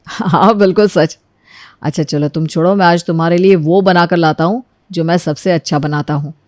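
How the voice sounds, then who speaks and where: A woman's voice: on the low side, steady tone, moderate pace, a little mellow, no room sound, clean studio sound, voice pushed down, lightly acted. A woman voicing an easygoing young husband, playful and eager to please, in a dramatized story. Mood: playful, obliging. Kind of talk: dramatized Hindi moral story acting.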